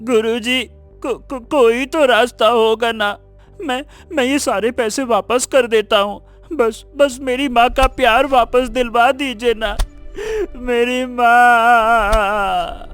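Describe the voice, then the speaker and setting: An impulsive young son from a cartoon morality tale, acted out by a voice performer. He speaks very slowly in a high, emotional voice and repeats his words, over faint music. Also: steady tone, fairly bright tone. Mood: desperate, pleading. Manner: stammering, repeats words when anxious. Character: Raju, greedy boastful young man turned desperate, remorseful son. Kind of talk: Hindi cartoon moral story acting.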